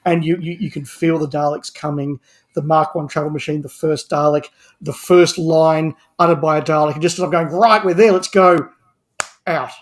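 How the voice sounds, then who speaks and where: A man's voice: a little high, steady tone, normal speed. A man speaking as a podcast guest, giving his opinions in casual review chat.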